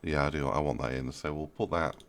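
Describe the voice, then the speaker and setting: A man, still a bit ill and stuffy, talking quietly and casually as he edits his own video, laughing at his slips. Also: brisk, slight background noise. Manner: quiet, low-key and muttering, often laughing at himself. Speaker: video maker explaining his editing. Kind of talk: casual commentary over his own footage.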